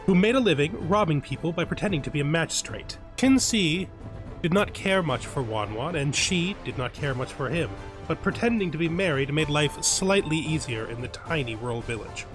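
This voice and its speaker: A man's voice: fairly high pitch, lively intonation, normal speed, over music, natural voice, rough recording. A man voicing a boastful, scornful young male bandit boss who reads from his own journal. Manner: proud first-person journal reading.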